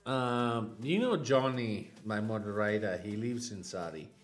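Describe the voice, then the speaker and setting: A man's voice: lowish voice, a little slow, faint music behind. A man from Italy live streaming, chatting casually with viewers in a rambling, stammering way with frequent laughs.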